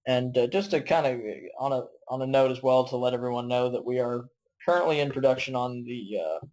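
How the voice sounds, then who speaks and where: A man's voice: medium pitch, brisk, darkish tone, rough recording. A man hosting a podcast, talking casually with a guest and with listeners. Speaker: podcast host. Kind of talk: casual interview chat.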